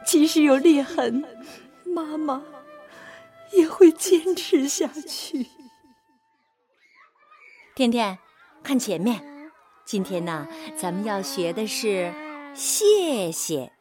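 A woman's voice: high-pitched, animated, slow pace, long pauses, mellow, faint music behind. An actress playing a devoted, long-suffering middle-aged mother in a radio drama, with a tender, emotive, pleading delivery. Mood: sorrowful resolve.

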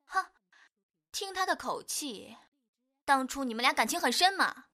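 A woman's voice: high voice, lively intonation, a little slow, bright, forceful tone, exaggerated voice. A woman acting in a Chinese audio drama as a jealous, pouty, insecure young girlfriend.

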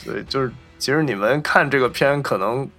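A man's voice: medium pitch, fairly quick, gravelly, music in the background. A man hosting a podcast, casually telling film anecdotes and acting out the remarks he reports. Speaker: podcast host.

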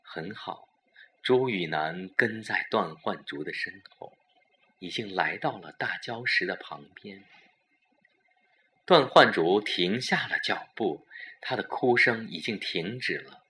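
A man narrating a scripted suspense story in a dramatic, deliberate storytelling manner. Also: low voice, animated, bright, forceful tone.

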